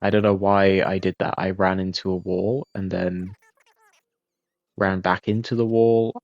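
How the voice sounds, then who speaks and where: A man giving relaxed post-game commentary over his gameplay, laid-back and self-critical, with frequent ums and uhs. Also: deep voice, measured pace, no echo, dull audio. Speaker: gaming video creator.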